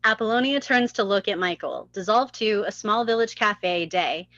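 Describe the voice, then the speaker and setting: A woman's voice: medium pitch, moderate pace, a bit bright, no echo, dull audio. A woman reading scene headings and stage directions as scripted narration at a live table read.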